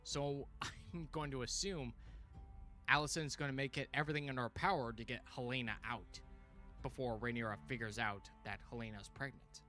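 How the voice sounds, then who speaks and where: A man's voice: mid-range voice, normal speed, soft background music. A man giving casual, exclamatory fan commentary as a livestream host, his voice tiring as he goes. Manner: animated, exclamatory, informal. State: voice tiring, frequent throat clearing. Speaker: livestream host reviewing a TV series.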